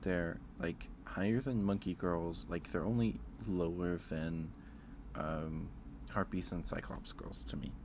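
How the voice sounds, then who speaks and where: A man's voice: deep voice, steady tone, unhurried, background noise. A man chatting casually with livestream viewers as host, musing aloud in an informal, hesitant way.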